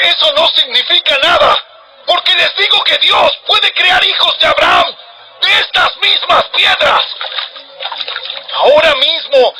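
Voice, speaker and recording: A man's voice: very high-pitched, sharp tone, heavily acted, low-quality recording. A man playing a stern, urgent desert prophet in an audio Bible drama, preaching in a thundering, declamatory way. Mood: fierce, warning.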